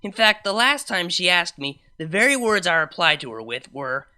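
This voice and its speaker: Brash, sardonic young DJ mare played by a woman for a cartoon fan story; bright, forceful, slangy delivery with big pitch swings. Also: big pitch swings, normal speed. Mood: wry, boastful. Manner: chatty, slangy, wry storytelling asides. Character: brash young female unicorn nightclub DJ, sardonic, exasperated. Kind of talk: first-person fan fiction narration.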